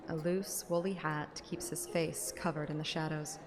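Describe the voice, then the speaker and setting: A woman's voice: deep voice, steady tone, moderate pace. A woman streamer reading a game story aloud like an audiobook. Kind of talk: dramatic narration with casual asides. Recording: loud music behind the voice.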